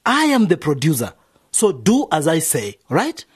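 An actor playing an exasperated, bossy music producer in a radio drama, scolding with emphasis in a husky voice. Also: male voice, on the high side, wildly swooping pitch, unhurried, background noise.

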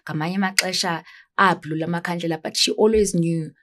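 Woman, south african accent, low voice, talk show host, easygoing chat. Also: steady tone, quick pace, a bit bright. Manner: switches between English and isiXhosa, conversational. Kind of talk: casual interview chat.

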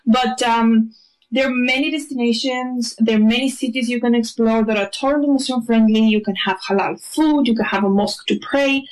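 A woman's voice: on the high side, fairly even intonation, brisk, a little mellow, low-quality recording. A woman in her thirties from Greece and Bulgaria, a travel blogger chatting in a radio interview with frequent fillers and light laughs.

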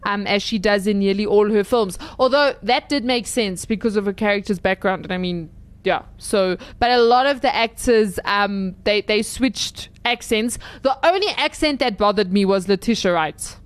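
A woman's voice: medium pitch, moderate pace, smooth, no room sound. A woman co-hosting a movie review show, chatting casually and enthusiastically about a film, with frequent restarts.

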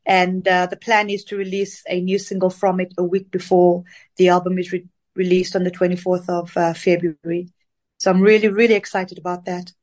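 A middle-aged woman from Zambia, an interview guest, talking through her career in a relaxed, reflective way with many fillers. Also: lowish voice, moderate pace. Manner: warm, reflective storytelling with fillers. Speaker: interview guest, dance singer. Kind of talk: casual interview conversation.

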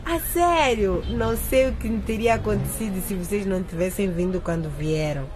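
A woman's voice: medium pitch, moderate pace, close to an everyday voice. A woman playing a grateful, weary teenage schoolgirl in a radio drama, confiding earnestly in her friends. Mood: grateful, subdued. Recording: noisy outdoor ambience with vehicles.

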